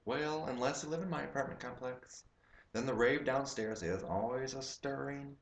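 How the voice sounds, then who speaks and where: A man's voice: medium pitch, moderate pace, reverberant, poor audio. A man reciting a poem in a dramatic, playful, hammy way, dropping in comic asides. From United States.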